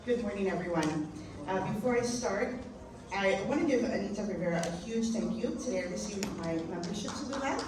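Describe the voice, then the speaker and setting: A woman's voice: mid-range voice, lively intonation, a little fast. A woman moderating a panel, speaking politely with a few hesitant fillers. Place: convention hall. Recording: echoey hall from far off, clear noise.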